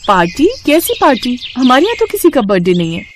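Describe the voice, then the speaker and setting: An earnest young college girl, voiced by a woman in a moral-story cartoon, the trusting younger sister who is easily hurt. Her voice is high and swings widely with emotion, over faint noise. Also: big pitch swings, quick pace. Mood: puzzled.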